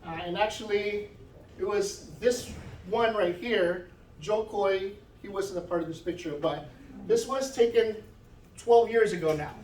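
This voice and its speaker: Male guest speaker, middle-aged, high voice, casual and conversational, roomy reverb. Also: measured pace, a little mellow, slight background noise. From Philippines. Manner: conversational, often asks "right?". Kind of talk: informal talk with slides. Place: event room. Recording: echoey room, mic from far off.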